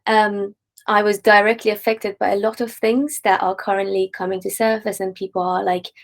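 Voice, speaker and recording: A young woman, a freelance artist, answering interview questions in a relaxed way full of likes and ums. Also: mid-range voice, normal speed. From Iran.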